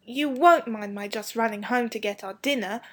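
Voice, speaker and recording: A woman's voice: a little high, normal speed, a bit bright. A woman reading a storybook, voicing a sly, practical young boy with an offhand manner.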